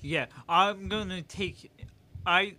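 A man's voice: sits high, slow, a crowd in the background. A man in a casual chat, emotional and given to repeating words as he restarts his sentences.

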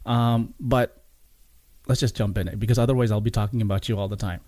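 A man's voice: fairly low pitch, steady tone, a little fast, a little mellow, dry recording. A man speaking as a podcast host, introducing the show and chatting with his guest. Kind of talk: podcast intro and interview chat.

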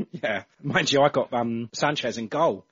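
A man's voice: mid-range voice, takes it slowly, a bit bright, husky. A man hosting a podcast in casual chat, rambling and hesitant as he thinks aloud. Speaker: podcast host. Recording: dull lo-fi.

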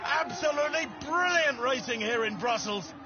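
A man commentates live on a race with a very high, excited, projecting voice. A loud outdoor crowd roars behind him. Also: moderate pace, highs cut off, heavy background noise. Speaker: sports commentator.

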